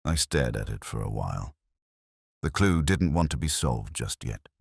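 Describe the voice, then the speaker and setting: A man playing a weary, wry older police inspector, narrating a literary audio drama in a measured, understated, reflective way. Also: a bass voice, expressive, gravelly, husky, no echo, studio quality, heavily acted. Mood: wry, brooding.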